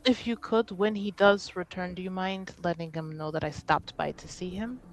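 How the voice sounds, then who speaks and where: A woman voicing a polite, soft-spoken young noblewoman in a tabletop roleplaying game. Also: on the low side, fairly even intonation, normal speed, slight background noise, lightly acted, rough recording. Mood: polite, reserved.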